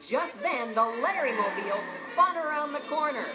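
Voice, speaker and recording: Woman, children's story narrator, expressive, highish lively pitch, background music. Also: moderate pace. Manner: dramatic, expressive reading.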